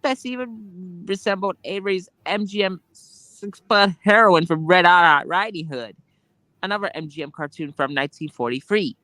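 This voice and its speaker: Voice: mid-range voice, moderate pace, sharp tone, dry recording, poor audio. A co-reader chatting casually and reading aloud from a book.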